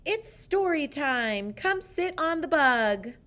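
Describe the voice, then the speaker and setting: High, sing-song silly schoolteacher, played by a woman. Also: lively intonation, takes it slowly, a bit bright, exaggerated voice. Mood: playful, sing-song. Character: silly, cheerful woman schoolteacher who mixes up words.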